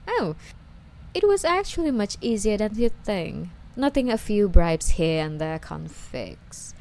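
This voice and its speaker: A woman playing an obsessive, sweetly menacing female stalker in an ASMR roleplay, coy and breathy, often slipping toward a whisper as she coddles her captive. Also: mid-range voice, very animated, measured pace, mellow. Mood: smug.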